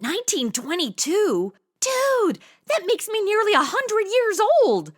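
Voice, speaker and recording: A woman's voice: extremely high pitch, very animated, a little slow, heavily acted. A woman playing a flippant, impatient young female sleuth, sarcastic and slangy, in a comedy audio drama.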